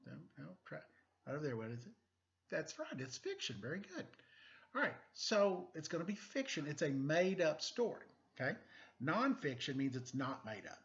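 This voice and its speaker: A man's voice: a little high, big pitch swings, moderate pace, a bit bright, lo-fi sound. A middle-aged man reading a story aloud for children in a playful, quizzing manner. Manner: playful, quizzing young listeners. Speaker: story-time teacher reading a picture book aloud.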